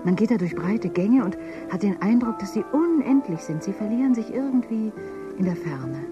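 A woman's voice: mid-range voice, expressive, normal speed, highs cut off, over music, close to an everyday voice. An actress playing a troubled woman who works as a paid conversation companion in a dystopian radio drama, talking compulsively. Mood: rambling, enthusiastic. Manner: compulsive, rambling talk. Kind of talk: German radio drama acting.

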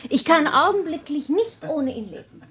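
High, playful society hostess. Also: female voice, lively intonation, normal speed. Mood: playful, excited. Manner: effusive, playful, theatrical. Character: frivolous, eccentric society hostess, playful and charming. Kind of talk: German radio drama acting. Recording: echoey, distant, party chatter behind.

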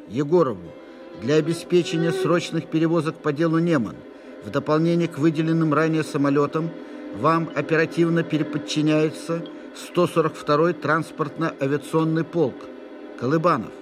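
An actor playing an impersonal military official who reads urgent dispatches in a clipped, formal manner for an audio drama. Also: male voice, on the high side, normal speed, over music, lightly acted.

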